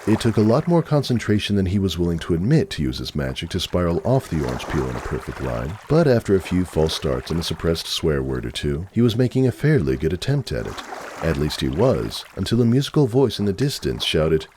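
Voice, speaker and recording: Deep-voiced man narrating with animated intonation. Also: fairly quick, background noise. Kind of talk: dramatic scripted story narration.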